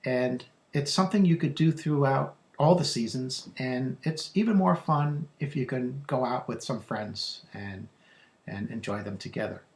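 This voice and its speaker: A man's voice: mid-range voice, unhurried, a little mellow. An American man reading a children's book aloud as its author and naturalist, adding informal asides about wildlife. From United States. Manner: imitates bird calls in a singsong.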